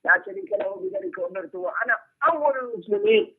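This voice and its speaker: High-pitched man lecturing, thin radio-like sound. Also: very animated, very fast. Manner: emphatic, repeats phrases and poses rhetorical questions. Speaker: religious teacher giving a class. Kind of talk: religious lecture, explaining a text aloud. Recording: muffled narrow-band radio-like sound.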